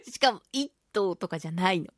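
A woman's voice: medium pitch, fairly bright tone, dry recording, studio quality. A woman hosting a solo radio talk show, telling stories casually and animatedly, with sound-effect words and playfully polite phrasing.

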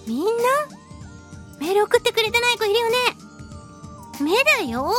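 A woman hosting a solo radio talk show, reading listener mail in a playful, giggly, animated manner. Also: extremely high pitch, normal speed, over music. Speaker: radio host, voice actress.

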